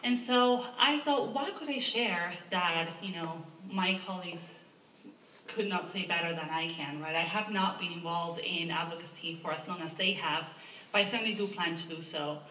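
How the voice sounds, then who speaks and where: A woman's voice: on the low side, normal speed, sharp tone, a little hiss or hum. A woman from Argentina with an Argentine Spanish accent, giving an earnest panel statement about her advocacy work and often tagging "right?" onto her points. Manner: earnest, with rhetorical "right?" asides. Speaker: environmental advocacy director, panelist. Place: museum lecture hall. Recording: echoey room, mic far off.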